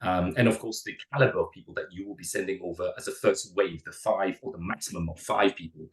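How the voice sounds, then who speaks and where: A hoarse man with a cold explains visa routes at a rapid clip. His voice sits very low, and he stumbles over repeated words. The room around him echoes. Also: fairly even intonation. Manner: fast, with many repeated words and restarts. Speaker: immigration adviser. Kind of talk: webinar presentation.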